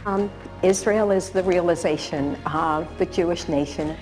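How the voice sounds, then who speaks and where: A woman's voice: on the low side, measured pace, a little mellow, soft background music. A middle-aged woman sharing personal reflections in a hesitant, emotional way. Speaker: interviewee giving a testimonial.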